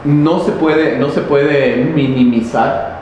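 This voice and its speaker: Halting man in an echoey hall. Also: a little high, fairly even intonation. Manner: halting, many fillers and restarts. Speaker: local guide explaining history. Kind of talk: explanatory interview talk. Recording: very echoey, noisy, reverberant space.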